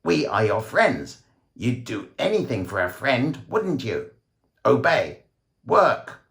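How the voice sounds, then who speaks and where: A man voicing an arrogant reptilian alien overlord in a short story, croaking and squawking slow, deliberate commands. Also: medium pitch, very animated, a bit bright, voice pushed up, exaggerated voice. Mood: insistent. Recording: roomy, echoing voice recording.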